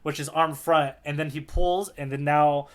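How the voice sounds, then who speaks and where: A man co-hosting a podcast, casually explaining things in an animated way and often checking in with "right?". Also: on the high side, moderate pace. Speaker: podcast co-host explaining a manga fight.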